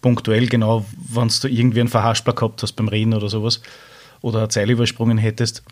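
A man hosting a podcast, asking questions in a relaxed, conversational way. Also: on the low side, fast talker. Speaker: podcast host. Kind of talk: casual podcast interview.

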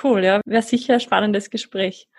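A woman's voice: medium pitch, steady tone, normal speed, slightly rough. A woman hosting a podcast, asking a guest questions in a friendly, brief way. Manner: friendly, short affirmations. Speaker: podcast host. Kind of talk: interviewing a guest.

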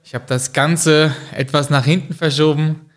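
A young man talking as a podcast guest in a relaxed way, pausing often with hesitations. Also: on the high side. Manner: relaxed, many "äh" hesitations, quick-witted. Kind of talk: casual podcast chat.

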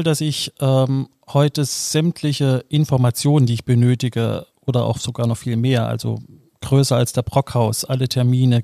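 A man's voice: medium pitch, vocal fry, warm tone, dry recording, studio quality. A man answering panel questions as a guest, thoughtful and reflective.

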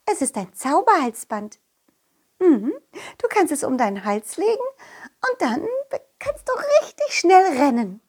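Woman playing kind magical fairy-tale friend, very high voice, swooping melody, drawn-out gushing delivery, children's audio play. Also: very animated, darkish tone. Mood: kind, delighted.

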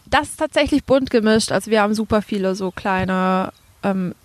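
A woman's voice: mid-range voice, moderate pace, dry recording. A young woman with a Franconian accent, a podcast guest chatting casually with many fillers and frequent laughs. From Franconia, Germany. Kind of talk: casual interview chat.

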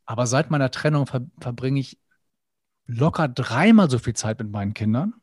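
Earnest, animated man on a dry mic. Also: mid-range voice, moderate pace, slightly rough, no echo. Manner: earnest, animated, acts out quoted inner voices. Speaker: podcast guest. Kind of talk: reflective conversational interview.

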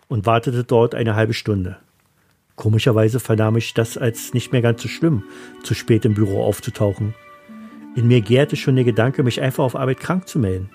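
A man's voice: medium pitch, darkish tone, breathy edge. A man reading a scripted first-person story as its narrator.

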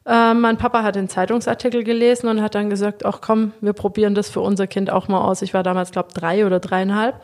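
A woman's voice: mid-range voice, flat delivery, quick pace, hardly any pauses, a little mellow. A woman in her thirties, guest in a podcast interview, telling her story in a chatty way and laughing often. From Germany. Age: 30s. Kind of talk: casual interview chat.

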